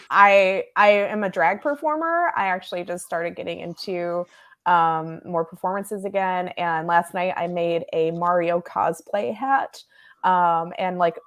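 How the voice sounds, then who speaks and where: A woman in her late twenties hosting a casual video call, bubbly and quick to laugh. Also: fairly low pitch. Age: 20s. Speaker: host. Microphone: webcam on video call.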